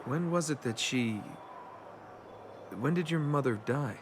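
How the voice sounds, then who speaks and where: An actor playing a cocky young adventurer and reluctant hero in a video game, with a wry, flippant, casual delivery. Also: male voice, fairly high pitch, unhurried, noisy background, lightly acted. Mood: casual, gentle.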